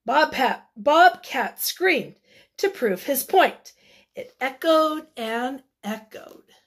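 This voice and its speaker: A woman reading a picture book aloud to children, expressively and deliberately, with dramatic emphasis. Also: high voice, animated, a bit bright. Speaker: children's read-aloud storyteller.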